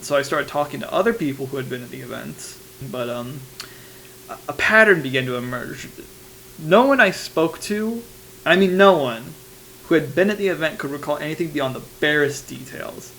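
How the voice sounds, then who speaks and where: A man's voice: medium pitch, a bit bright, lightly acted. Man playing a hesitant young reporter, noisy tape hum. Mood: uneasy, puzzled. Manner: hesitant, rambling, informal. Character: anxious young male investigative reporter recording a private tape diary. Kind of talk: analog horror monologue, confessional voice memo.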